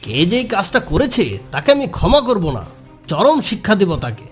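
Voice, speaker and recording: Woman voicing a bold, animated cartoon monster. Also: medium pitch, normal speed, soft background music. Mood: stern. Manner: scolding, teasing, commanding. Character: big bold bossy monster, teasing protector of the forest. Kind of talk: children's cartoon character dialogue.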